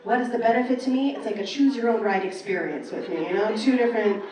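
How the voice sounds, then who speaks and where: A roomy, echoing live recording of a woman comedian with a fairly high voice. She tells stories in a brash, casual way and acts out the conversations as she goes, speaking from a club stage. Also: fast, recorded from a distance, crowd noise. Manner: animated storytelling, acting out quoted exchanges. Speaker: stand-up comedian. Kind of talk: stand-up comedy set.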